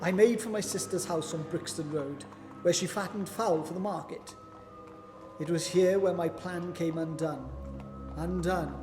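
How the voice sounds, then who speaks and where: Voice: medium pitch, measured pace, lightly acted. An actor's cowardly manservant, whining, stammering, radio play delivery, long reverb, prominent music behind. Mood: anxious narrating. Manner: pleading, stammering, flustered. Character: James Ryder, cowardly, whining manservant and thief. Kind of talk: festive radio play acting. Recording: long hall reverb, loud music underneath.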